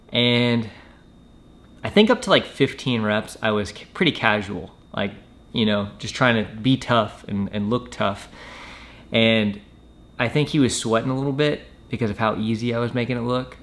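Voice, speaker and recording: A man telling a personal story in a casual, matter-of-fact way with hesitant pauses. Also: medium pitch, fairly bright tone. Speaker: storyteller, former Marine recruit.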